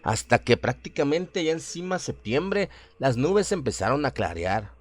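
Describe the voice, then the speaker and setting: A man narrating a scripted horror radio drama in a measured, literary, dramatic storytelling manner. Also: medium pitch, very animated.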